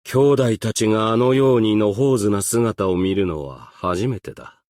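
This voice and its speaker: Man playing a deep, unhurried, stern ancient strategist. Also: darkish tone, dry recording, studio quality, natural voice. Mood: calm, aloof. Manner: formal, archaic, measured, reserved diction. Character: Wang, composed, stern, ancient male strategist and Go master. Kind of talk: scripted game character voice lines.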